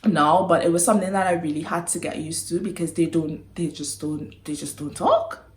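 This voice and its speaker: A woman's voice: lowish voice, brisk. A young woman with a Trinidadian accent, chatting casually to camera, giggly, sighing and apologizing as she goes. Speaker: vlogger. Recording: roomy echo.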